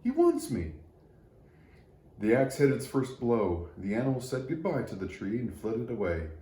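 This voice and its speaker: A man reading a children's story aloud in an expressive storytelling manner. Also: medium pitch, moderate pace. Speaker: storybook reader for church children. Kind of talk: scripted children's story narration. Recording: echoey room, faint background noise.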